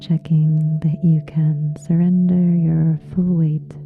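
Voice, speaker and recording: A woman softly guides a relaxation meditation in a breathy, soothing hush. Her delivery is very even and slow, with long pauses between short phrases. The sound is slightly dull and muffled. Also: sits low. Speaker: yoga nidra meditation guide. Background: soft meditation music with singing bowls.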